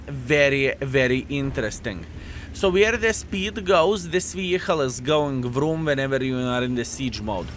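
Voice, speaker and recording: A man's voice: mid-range voice, a bit bright, slight background noise. A man with a Lithuanian accent, hosting a video and chatting casually through a game, often calling his viewers "fellas". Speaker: gaming video host. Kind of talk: casual gameplay commentary.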